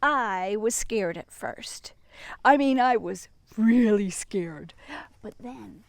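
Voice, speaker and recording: Woman primary teacher for children's story time: light high voice, very measured pace, expressive delivery, hushed asides to young listeners. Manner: sing-song, expressive, addresses children directly with hushed asides. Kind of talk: animated children's picture-book read-aloud and gentle chat to children.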